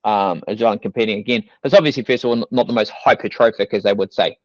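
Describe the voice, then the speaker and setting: A man's voice: on the low side, quick pace, dry recording. A young man with a New Zealand accent, a fitness coach chatting as a podcast guest, rambling loosely and leaning on fillers like "you know" and "like". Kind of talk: casual podcast interview. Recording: dull dry studio sound.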